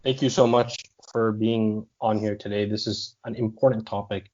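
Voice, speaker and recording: A man hosts a podcast with a somewhat low voice, polite and earnest as he introduces the show and his guest. The recording is dull and dry, with no room sound. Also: steady tone, normal speed, darkish tone, no room sound. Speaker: podcast host. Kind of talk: scripted intro then interview questions.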